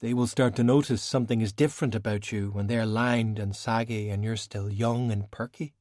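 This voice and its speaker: Audiobook role: elegant, cool veteran sorceress, acted by a man. Formal, measured, admonishing, dry studio sound. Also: lowish voice, steady tone, darkish tone, no room sound, natural voice. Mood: grave, cool. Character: worldly, composed, cool woman sorcerer, long-lived and stern.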